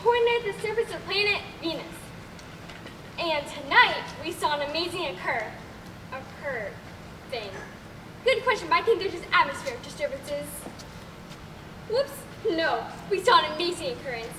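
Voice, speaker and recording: A young woman playing a clueless veteran astronomer in a stage comedy, halting and stiff as she recites. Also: very high voice, expressive. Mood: muddled, cheerful. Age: young adult. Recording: echoey noisy hall, far mic.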